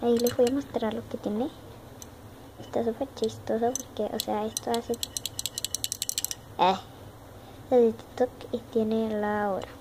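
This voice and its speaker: A young Argentine girl chats on and on, repeating words and using lots of little diminutives. Her voice sits a bit high. The home phone recording carries a clear layer of background noise. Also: fairly even intonation, unhurried, long pauses. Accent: Argentine Spanish. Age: child. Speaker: young vlogger showing her dollhouse. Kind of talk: casual show-and-tell narration. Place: home room with wooden floor, indoors. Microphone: phone camera held in hand.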